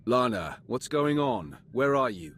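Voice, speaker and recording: An actor playing a commanding, sardonic Sith lord in a game, with a husky voice and a blunt, imperious manner. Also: male voice, mid-range voice, very animated, unhurried, a bit bright. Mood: urgent concern.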